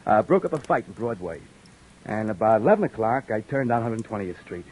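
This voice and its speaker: An actor playing a weary beat cop who narrates and talks with dry wisecracks, in an old radio drama. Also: male voice, on the low side, big pitch swings, fairly quick, darkish tone, low-quality recording. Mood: narrating. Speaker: narrator and lead. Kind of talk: 1950s radio drama acting. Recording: old radio recording with faint hiss.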